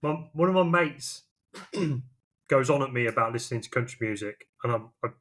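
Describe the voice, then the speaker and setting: A man's voice: mid-range voice, moderate pace, fairly bright tone. A man, talking informally and in a rambling way as a podcast co-host. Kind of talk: casual chat.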